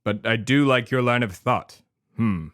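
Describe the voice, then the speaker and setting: A man's voice: mid-range voice, unhurried, a bit bright, clear, resonant tone, lightly acted. A man putting on a pompous, self-important old-money lobbyist character with a quasi-New England accent, hosting a comedy podcast. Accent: put-on quasi-New England. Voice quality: haughty, self-important, mock-aristocratic.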